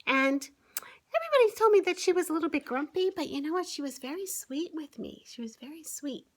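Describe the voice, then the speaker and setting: A woman's voice: sits high, animated, fairly quick. A woman hosting story time for children, talking through a picture book and often putting simple questions and exclamations to her young listeners. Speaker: children's author and online story-time host.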